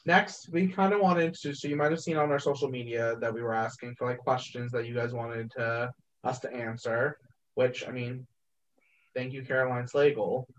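A young man co-hosts a casual podcast, keeping things moving with easygoing, lightly bantering talk. His voice sits at an ordinary middle pitch. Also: fairly even intonation, moderate pace, low-quality recording. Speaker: podcast co-host. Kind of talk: casual chat.

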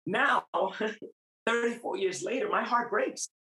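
A middle-aged woman of Puerto Rican background tells her faith story as a podcast guest. She speaks earnestly and presses her points with rising emphasis. Her voice sits at an average pitch and carries a roomy echo. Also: takes it slowly. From Puerto Rican, raised in New York. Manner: earnest, grows emphatic and animated. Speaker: guest, singer. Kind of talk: faith testimony in a podcast interview. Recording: roomy, echoey sound.

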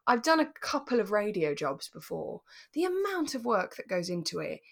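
A woman's voice: medium pitch, sing-song, moderate pace, gravelly. A British woman chatting casually and enthusiastically as a podcast co-host.

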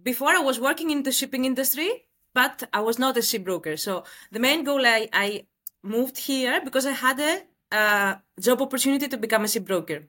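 A woman's voice: sits high, fairly quick, pushed and bright. A woman in her late twenties from Greece with a Greek accent, answering conversationally as an interview guest. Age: late 20s.

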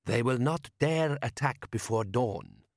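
A man voicing a proud, reproachful desert warrior who speaks slowly and deliberately. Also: mid-range voice, animated, creaky, no echo, studio quality. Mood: stubborn, proud. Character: fierce reproachful desert warrior, proud self-declared dreg.

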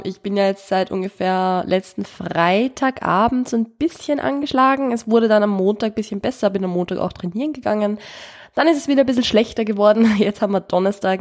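Young woman, podcast host, stuffy nasal cold voice, casual self-deprecating chatter with restarts. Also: mid-range voice. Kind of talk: solo podcast talk.

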